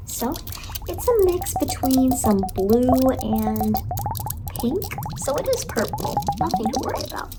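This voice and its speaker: A woman voicing a cheery, eerie clown ice-cream vendor in a horror ASMR roleplay, softly coaxing and reassuring a child up close over background noise. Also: high voice, wildly swooping pitch, measured pace, over music, full character voice, rough recording. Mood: playful, reassuring.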